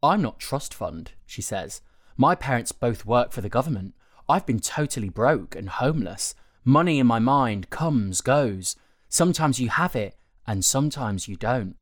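A man narrating a written article in a measured, articulate way. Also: medium pitch, dry recording, studio quality. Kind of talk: scripted audio article narration.

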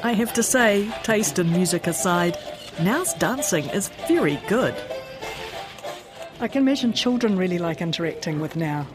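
A woman's voice: on the low side, lively intonation, moderate pace, music in the background. A woman with a New Zealand accent, a radio presenter interviewing and narrating.

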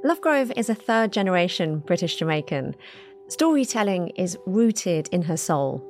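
A woman hosting a news podcast, reading scripted narration and asking guests clear, measured questions. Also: lowish voice, very animated, darkish tone, soft background music. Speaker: podcast host.

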